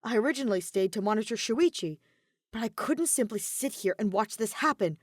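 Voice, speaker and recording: An earnest robot boy, voiced by a woman in a fan fiction reading, precise and formal in phrasing. He is hopeful and urgent, with lively pitch in a dry, close recording. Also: a little fast, dry recording, close to an everyday voice. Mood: firm, earnest.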